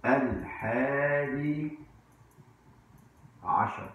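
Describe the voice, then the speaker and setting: A man's voice: mid-range voice, very slow, dull audio, a little hiss or hum. A man in his forties with an Egyptian accent giving an informal language lesson, repeating words and asking check questions in a conversational way. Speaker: English teacher. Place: indoor room at a whiteboard. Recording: very echoey room.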